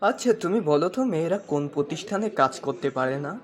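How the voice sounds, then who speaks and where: A man's voice: fairly high pitch, expressive, fast talker, loud music behind the voice, natural voice. A man voicing a cheeky, teasing boyish prankster in a comedy cartoon dub.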